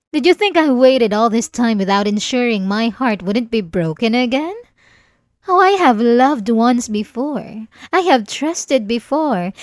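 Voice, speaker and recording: A woman's voice: a little high, no room sound. A woman playing a centuries-old, possessive vampire lover in an ASMR roleplay, silky and condescending, with sweet menace, close to the mic. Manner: slow, silky, condescending endearments, teasing menace. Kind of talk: ASMR roleplay, dramatic monologue. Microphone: close, dry studio-style mic.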